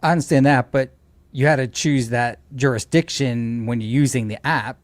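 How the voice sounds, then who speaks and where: A man's voice: mid-range voice, normal speed, dry recording. A man chatting casually on a livestream as a co-host, opinionated and teasing. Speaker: co-host or regular guest on a livestream. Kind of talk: casual chat.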